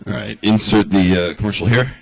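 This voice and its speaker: A man's voice: fairly low pitch, a little fast, vocal fry, low-quality recording. A man doing a rambling stand-up comedy monologue in the style of a radio address, loose and chatty, full of asides.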